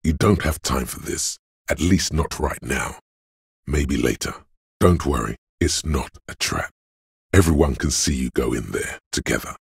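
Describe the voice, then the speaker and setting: An actor playing a cool-headed, analytical inner voice of logic in a video game, measured, deliberate and matter-of-fact, with a gravelly, husky bass. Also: male voice, no echo, studio quality, heavily acted. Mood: analytical, composed. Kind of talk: scripted game narration as an inner voice.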